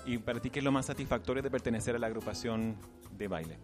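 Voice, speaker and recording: A man's voice: mid-range voice, fast. A man working as a radio interviewer at a cultural event, asking polite questions with small restarts. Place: embassy cultural celebration. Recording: interview with loud music behind. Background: music playing.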